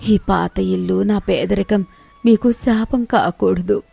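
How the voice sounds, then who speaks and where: A woman plays an old village widow in a moral story. She is tender, self-pitying and plaintive, speaking at a medium pitch in a dry, close recording. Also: steady tone, slow, creaky, dark tone, slightly rough, dry recording, faint music behind, exaggerated voice. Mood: resigned, pleading. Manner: lamenting, plaintive address to her son.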